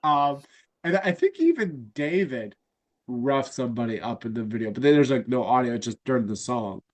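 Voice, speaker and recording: A man's voice: mid-range voice, expressive, moderate pace. Congested nasal man chatting casually. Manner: rambling, lots of fillers and restarts. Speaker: podcast host. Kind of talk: casual fan chat.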